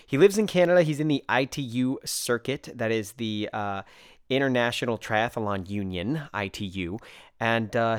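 A low-voiced American man hosting a podcast, talking in a chatty, enthusiastic way and wandering into side stories. The sound is dry and close, with no room around it. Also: clean tone, no room sound. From United States. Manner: enthusiastic, chatty, fillers and digressions. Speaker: podcast host. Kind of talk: casual podcast chat.

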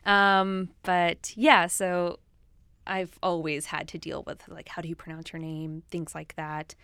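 Outspoken woman, low voice, dry sound. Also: little pitch movement, unhurried, a bit bright, clean tone, dry recording. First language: Swahili. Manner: outspoken, talks at length with fillers. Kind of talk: casual discussion of a film.

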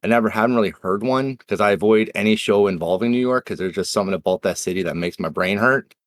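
A man's voice: on the low side, quick pace, no room sound, clean studio sound. A man from Nova Scotia with a Canadian accent, chatting casually and swearing freely as a cast member of a game podcast. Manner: laid-back, swears freely, tangents. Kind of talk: casual chat.

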